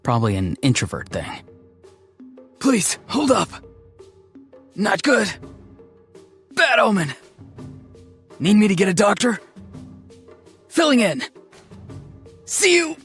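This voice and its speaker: An actor voicing a gentle, odd, introverted young man in a video game, speaking in an earnest, hesitant, matter-of-fact way. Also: sits high, huge pitch swings, slow, pauses often, soft background music, heavily acted. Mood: wry, then urgent. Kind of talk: scripted game character lines.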